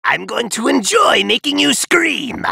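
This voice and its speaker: Casting: arrogant alien parasite villain, anime game; harsh rasp, wildly swinging pitch, menacing taunts. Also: male voice, sing-song, a little slow, no echo, studio quality, exaggerated voice. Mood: gloating, taunting. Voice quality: raspy, gravelly. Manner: grandiose, menacing, taunting, often shouting. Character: arrogant, sadistic alien parasite conqueror, male, gloating villain. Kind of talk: theatrical anime game battle lines.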